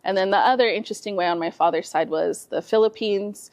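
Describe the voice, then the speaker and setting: A woman's voice: mid-range voice, brisk, darkish tone, no room sound. Dry-voiced woman poet from San Jose. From San Jose, California. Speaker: guest poet. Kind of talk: casual interview and poetry recital. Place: community space in an office building.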